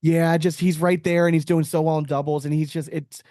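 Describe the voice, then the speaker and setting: A man's voice: fairly high pitch, little pitch movement, fairly quick, dark tone, no room sound, studio quality. A man hosting a podcast in casual sports chat, talking in a slangy, opinionated, conversational way. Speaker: podcast host.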